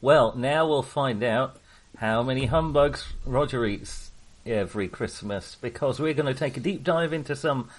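A man hosting a podcast, talking casually about films in a jokey, wry manner with a husky voice. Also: medium pitch, moderate pace. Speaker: podcast host. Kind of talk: casual film discussion.

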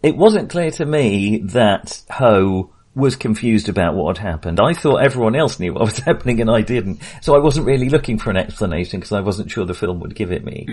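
A man's voice: lowish voice, lively intonation, brisk, hardly any pauses, darkish tone, breathy edge. A man co-hosting a film podcast in casual discussion, hedging and correcting himself as he goes. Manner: tentative, hedging and self-correcting.

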